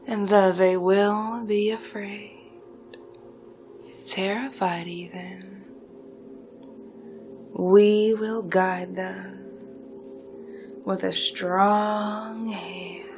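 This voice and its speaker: Woman acting a very slow, seductive supervillainess. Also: medium pitch, steady tone, a little mellow. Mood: zealous, persuasive. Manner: deliberate, drawn-out phrasing, often dropping to whispers. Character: seductive, hypnotic female supervillain recruiter, smugly delighted. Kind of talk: slow theatrical hypnosis roleplay monologue. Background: music.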